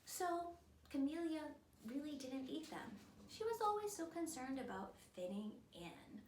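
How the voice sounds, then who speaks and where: A high-voiced woman reads a children's story aloud like a drama teacher. Her delivery is theatrical and very animated, with slow, emphatic phrasing. The sound is echoey. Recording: roomy, distant mic, noisy background.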